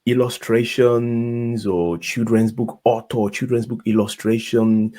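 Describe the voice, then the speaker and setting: A curious interview host with a husky, breathy voice at a middle pitch. The questions come in long, rambling strings. The tone is casual and conversational. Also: fairly even intonation, a little slow, warm tone. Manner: curious, asks questions in rambling stacked sentences.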